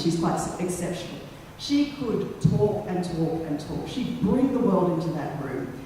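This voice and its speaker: Middle-aged storyteller, mellow tone, echoey hall, distant mic. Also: mid-range voice, slight background noise. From Western Australia and Fiji. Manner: conversational, many ums, pauses, reflective. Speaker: radio talent manager giving a talk. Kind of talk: personal storytelling talk. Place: lecture room.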